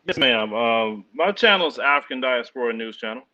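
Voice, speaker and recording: A man's voice: medium pitch, lively intonation, brisk, sharp tone. A man calling into a livestream, polite and hesitant, restarting his sentences. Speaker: caller, fellow channel host. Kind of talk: call-in conversation. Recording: dull lo-fi call audio.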